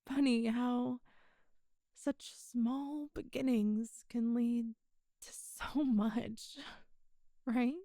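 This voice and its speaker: High, soft, slow-spoken demon goddess, acted by a woman. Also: steady tone, dry recording, clean studio sound. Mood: fond, reminiscing. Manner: slow, halting, intimate, wistful. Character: tender, teasing immortal demon goddess in love, a woman. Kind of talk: intimate ASMR audio roleplay.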